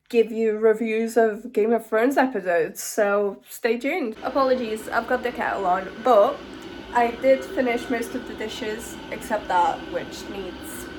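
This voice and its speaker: A woman's voice: medium pitch, a little mellow. Casting: woman, early twenties, from Hungary, chatty lifestyle vlogger, mid-range voice, informal and giggly delivery. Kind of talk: casual chatty vlog to camera.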